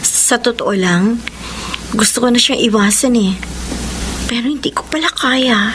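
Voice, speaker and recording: An actress playing a gentle, lovelorn, apologetic woman in a Filipino radio drama. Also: a little high, measured pace, close to an everyday voice. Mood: wistful. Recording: noisy, with background ambience.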